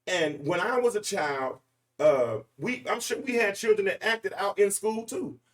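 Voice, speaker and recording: A man's voice: high voice, measured pace, recorded from a distance. A man, hosting a freestyle commentary monologue in an emphatic, rambling, preachy way. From Arkansas, US. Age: 40s. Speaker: podcast host.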